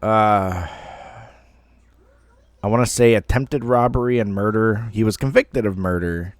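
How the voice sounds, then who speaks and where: A man's voice: lowish voice, a little slow, no room sound. A man in his thirties telling a personal story casually, in a rambling, self-deprecating way with some mild swearing. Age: 30s. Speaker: online storyteller.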